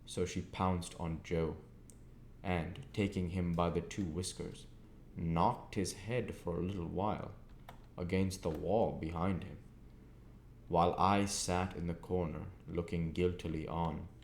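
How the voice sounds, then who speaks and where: A low-pitched man reads a classic novel aloud at a slow pace. His phrasing is deliberate and broken into short pieces. The room echoes around him. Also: fairly even intonation, a little mellow. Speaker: audiobook reader. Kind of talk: scripted narration of a classic novel. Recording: echoey room with faint background noise.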